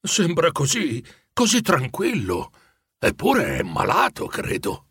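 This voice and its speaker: Elderly miser for a storybook, played by a man: anxious, pleading, hesitant, rather high and husky. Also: wildly swooping pitch, slow, fairly bright tone, no room sound, clean studio sound, heavily acted. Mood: worried. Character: old miserly man, anxious, embarrassed, softening.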